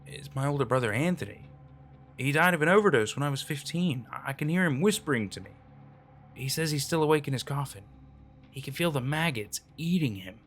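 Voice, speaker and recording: Man acting out a terrified, raving young man, gravelly. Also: on the high side, lively intonation, a little fast, fairly bright tone, a little hiss or hum. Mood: haunted whispering dread. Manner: frantic, stammering, pleading. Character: terrified young man losing his mind, paranoid and raving.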